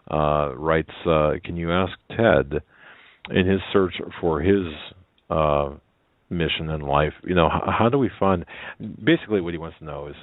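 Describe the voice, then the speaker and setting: Very deep-voiced male radio host. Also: no room sound. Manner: halting, many fillers and restarts. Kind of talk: talk radio interview. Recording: dry tinny phone-like line.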